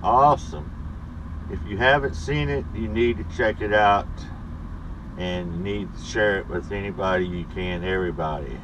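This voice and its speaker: A middle-aged man who drives a truck, chatting casually in a weekly vlog with a laid-back, rambling manner full of pauses and fillers, recorded by a dashboard camera inside his cab. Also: lowish voice, noisy background. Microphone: camera mounted on the dashboard.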